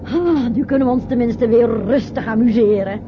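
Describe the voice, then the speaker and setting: An actress playing an emotional lady in a radio play, expressive and theatrical. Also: on the high side, moderate pace, noisy background, natural voice. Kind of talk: Dutch radio play acting. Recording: muffled old radio recording.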